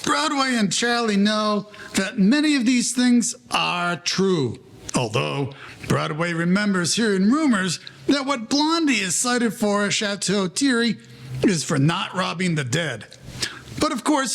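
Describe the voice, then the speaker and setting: An actor playing the wry, formal narrator of an old Broadway gangster tale, telling it as scripted drama. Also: male voice, high-pitched, a bit bright, exaggerated voice.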